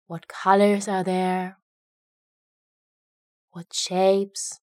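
A woman narrating a guided meditation in a gentle, unhurried way, leaving long pauses between phrases. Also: mid-range voice, little pitch movement, no room sound, studio quality.

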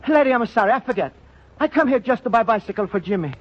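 An actor playing a kindly, eager Italian immigrant as Santa in a radio comedy, speaking earnest, apologetic broken English with an Italian accent. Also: male voice, very high-pitched, lively intonation, very fast. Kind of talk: old-time radio comedy acting. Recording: dull, band-limited old radio recording.

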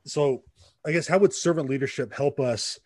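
A man's voice: a little high, normal speed, darkish tone, dry recording. A man hosting an interview podcast, asking friendly, encouraging questions. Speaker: podcast host.

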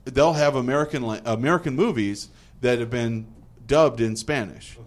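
A young man hosting a podcast, chatting casually in a halting, rambling way with many restarts. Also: mid-range voice. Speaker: podcast host.

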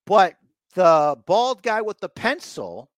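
A man's voice: sits high, big pitch swings, slow pace, no room sound, clean studio sound. A man hosting a podcast, giving a casual, rambling recap with mock exasperation and repeated phrases for emphasis. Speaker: podcast host recapping wrestling.

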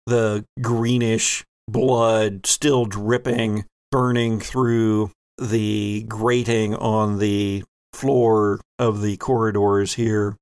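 A man's voice: fairly low pitch, smooth, dry recording, clean studio sound. A man acting as game master, narrating a horror tabletop game in a descriptive, suspenseful way.

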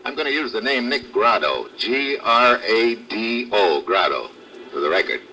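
An actor playing a tough, cool-headed middle-aged secret agent with clipped, hard-boiled delivery in old-time radio style. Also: male voice, medium pitch, big pitch swings, moderate pace, bright, forceful tone. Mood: brisk, confident. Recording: noisy vintage radio recording.

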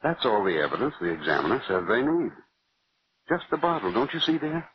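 An actor playing a calm, solicitous doctor and confidant in 1940s radio drama style. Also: male voice, mid-range voice, a little fast, husky, no echo. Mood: soothing, concerned. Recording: muffled, faintly noisy vintage radio recording.

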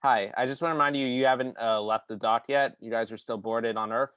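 A man's voice: medium pitch, steady tone, a little fast, fairly bright tone, highs cut off, slight background noise, natural voice. A man playing a polite, sheepish ground control officer in an improv comedy sketch, hesitant and mild.

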